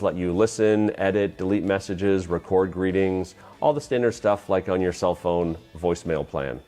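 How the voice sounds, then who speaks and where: Man, lowish voice, tutorial presenter, plain-spoken step-by-step explanation, light background music. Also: darkish tone. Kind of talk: instructional explanation of phone system use.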